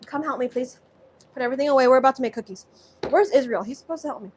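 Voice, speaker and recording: A woman's voice: high voice, rapid-fire, slightly rough. Chatty woman from Southeast Asia, cooking live. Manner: chatty, reads viewer comments aloud, rambling with many restarts. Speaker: live-stream cooking host. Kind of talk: casual live-stream chat while cooking. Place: home kitchen.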